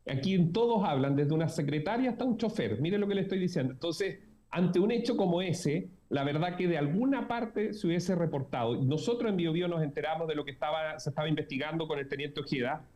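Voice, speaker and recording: A man's voice: on the high side, a little fast, a little mellow. A Chilean man with a Chilean Spanish accent, a journalist speaking as a guest in a political interview, earnest and emphatic, repeating key words.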